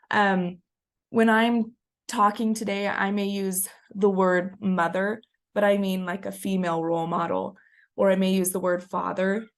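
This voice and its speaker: A woman's voice: mid-range voice, vocal fry. A woman in her thirties presenting a webinar in a chatty storytelling way, a little scratchy from a cold. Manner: chatty, storytelling, frequent ums. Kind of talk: conversational presentation.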